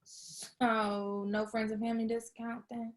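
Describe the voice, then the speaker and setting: A woman's voice: on the high side, level tone, a little slow, lightly acted. A woman playing an awkward, playful young woman with a wry, slangy way of talking, in a screenplay table read. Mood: chatty, hopeful. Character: Issa, awkward, playful, broke young woman with sarcastic streak.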